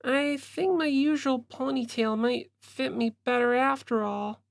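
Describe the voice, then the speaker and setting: A man voicing a cheerful, peacemaking teenage girl in an audiobook, with a bright, placating manner. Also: very high voice, fairly even intonation, a little slow, gravelly, dry recording, pitched above the performer's own voice, heavily acted.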